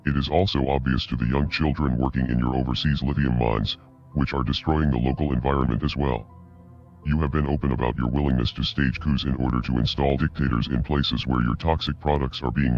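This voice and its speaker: An extremely low, electronically disguised male voice reads a scripted message. The delivery is measured, formal and accusatory, with music playing underneath. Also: lo-fi sound. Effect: pitched-down voice disguise. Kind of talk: scripted video message narration.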